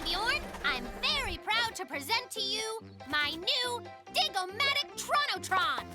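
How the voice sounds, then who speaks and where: A boastful little cartoon bear cub with a very high, swooping voice. The delivery is theatrical and exclamatory, like a born fibber and schemer. Music plays clearly behind the voice. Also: full character voice. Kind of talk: children's animated cartoon acting.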